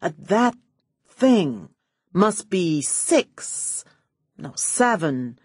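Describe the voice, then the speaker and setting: A woman's voice: lowish voice, sing-song, very slow, darkish tone, slightly rough, no echo, clean studio sound, exaggerated voice. A woman voicing a suave but nervous male film star in an audiobook, polite and hesitantly bluffing.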